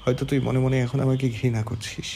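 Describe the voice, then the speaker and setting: Man acting a gravelly, intense young lover. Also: medium pitch, flat delivery, fairly quick, mellow, a little hiss or hum, lightly acted. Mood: tender, sorrowful. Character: stubborn, intense young man desperately in love. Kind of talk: audio story acting.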